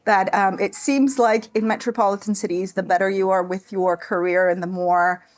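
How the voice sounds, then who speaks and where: A woman's voice: medium pitch, fairly even intonation, normal speed. A woman with an American accent explaining things conversationally as an interview guest, in an informal way with plenty of "like" and "sort of". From United States. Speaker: therapist and relationship consultant, interview guest.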